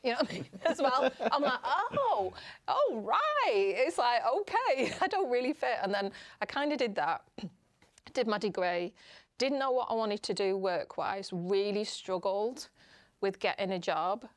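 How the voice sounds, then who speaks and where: A woman's voice: on the high side, very animated, a little slow, dry recording, clean studio sound. A middle-aged woman with a Northern English accent, telling personal stories casually in a candid, self-deprecating way as a podcast guest. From northern England. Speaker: podcast guest, public speaker.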